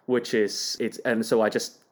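A man's voice: fairly low pitch, level tone, normal speed. A man from Hong Kong whose first language is Cantonese. He is a conductor telling stories as a podcast guest, chatty and self-deprecating. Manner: modest, chatty storyteller with laughs and self-deprecating humor. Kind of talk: casual interview chat.

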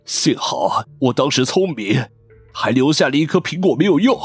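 Husky, high-voiced desperate gambler. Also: male voice, animated, measured pace, soft background music. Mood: scheming, frustrated. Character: desperate middle-aged gambling father, self-justifying ruined businessman. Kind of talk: radio drama acting.

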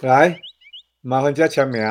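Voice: mid-range voice, steady tone, measured pace, slight background noise, lightly acted. A performer playing a friendly, businesslike parcel courier in a radio drama, colloquial, with a Taiwanese accent.

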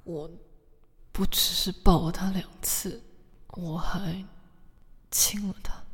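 A woman playing an earnest, tender, easily flustered female bar manager in a radio drama, speaking hesitantly with breathy murmurs. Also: lowish voice, fairly even intonation, takes it slowly, fairly bright tone, whispered, heavily acted. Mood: confessing, anxious.

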